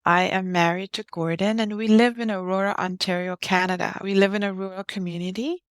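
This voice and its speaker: A woman's voice: medium pitch, fairly even intonation, fairly quick, smooth, no room sound, clean studio sound. Heartfelt woman in her fifties, from Guyana. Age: 50s. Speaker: guest. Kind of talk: personal storytelling in an interview.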